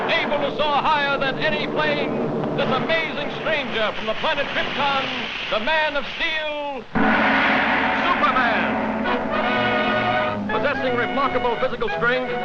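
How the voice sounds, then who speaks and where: 1940s cartoon announcer: high, heroic, dramatic, over loud music on a vintage soundtrack. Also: male voice, muffled recording.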